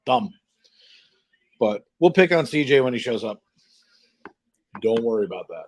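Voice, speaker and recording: A man's voice: mid-range voice, sing-song, a little slow, a little hiss or hum. A man hosting a live hockey stream, greeting viewers and chatting informally in a scattered, casual way. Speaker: live stream host calling hockey play by play.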